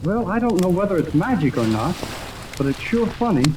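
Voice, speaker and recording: Excitable boyish radio operator, crackly old recording. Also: male voice, high-pitched, a little fast. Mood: urgent. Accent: American. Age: young adult. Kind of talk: 1930s radio serial acting.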